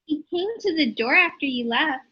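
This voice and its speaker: A woman's voice: high-pitched, moderate pace, dull audio, natural voice. A woman reading the part of an anxious, protective woman of the household in an amateur readers theatre, worried and questioning.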